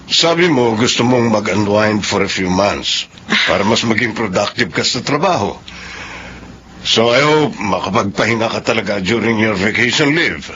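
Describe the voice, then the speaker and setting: An actor playing a considerate, authoritative company boss in a radio drama, with a coaxing paternal manner. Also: male voice, fairly low pitch, lively intonation, moderate pace, sharp tone. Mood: supportive. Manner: coaxing, paternal, code-switching into English. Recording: muffled, noisy radio drama recording.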